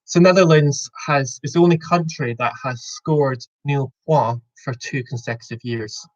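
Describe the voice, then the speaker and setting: A young Scottish man hosting a quiz over a video call, often stopping mid-sentence to correct himself and throwing in jokes. His voice sits at an everyday middle pitch, and the audio sounds dull and slightly lo-fi. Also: normal speed. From Scotland. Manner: rambling, self-correcting, jokey. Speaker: quiz host. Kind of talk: casual online quiz hosting.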